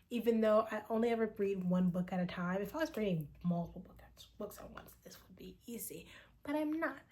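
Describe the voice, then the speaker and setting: A woman's voice: mid-range voice, a little mellow. A woman in her late twenties chatting casually to camera in a personal vlog, rambling and swearing freely, sometimes dropping into a whisper. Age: 20s. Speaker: vlogger.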